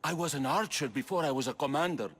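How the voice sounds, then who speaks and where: An actor playing a desperate, disillusioned commander turned deserter in a video game. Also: male voice, on the high side, fast talker, fairly bright tone. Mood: bitter. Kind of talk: video game cutscene acting. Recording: clear background noise.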